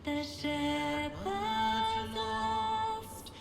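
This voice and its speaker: A woman playing a solemn, comforting cleric in a video game, leading a slow hymn. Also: very high voice, gravelly, exaggerated voice. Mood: rousing, reverent. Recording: echoing space with music behind.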